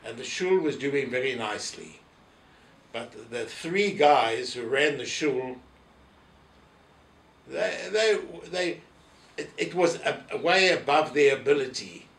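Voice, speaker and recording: A man's voice: mid-range voice, expressive, slow, creaky, pauses often, fairly bright tone. An elderly man with a South African accent, reminiscing in an interview and telling anecdotes in which he re-enacts what people said. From South Africa, family from Poland. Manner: anecdotal, re-enacting quoted remarks. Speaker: interviewee, former synagogue office holder. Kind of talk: reminiscing in an oral history interview. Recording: roomy echo, distant mic, faint noise.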